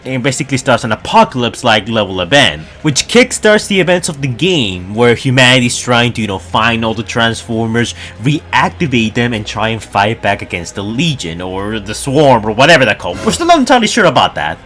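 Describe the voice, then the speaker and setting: Male gaming news host, medium pitch, highly animated intonation, informal and chatty, talks directly to the audience. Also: a little fast, hardly any pauses. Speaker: video host. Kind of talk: casual gaming news commentary.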